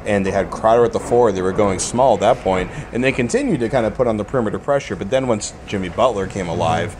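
A man's voice: lowish voice, fast talker. A man hosting a casual basketball discussion in an enthusiastic, playful, conversational way. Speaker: sports radio and podcast host. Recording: clearly noisy urban background ambience.

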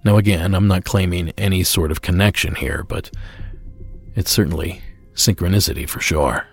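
A man hosting a paranormal call-in podcast, reading scripted narration and introducing callers in a measured storytelling manner. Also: low-pitched, creaky, husky, no room sound, soft background music. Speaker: podcast host.

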